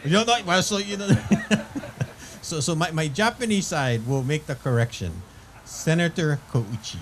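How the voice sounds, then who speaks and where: A man acting as master of ceremonies, making quick joking asides and laughing before introducing the next speaker. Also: a little high, slight background noise.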